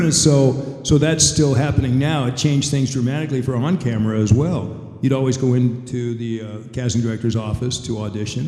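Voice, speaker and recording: Dark-voiced older man in an echoey hall. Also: medium pitch. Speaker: veteran voice actor on a convention panel. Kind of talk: conversational advice to an audience. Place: convention panel room. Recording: echoey hall, long reverb.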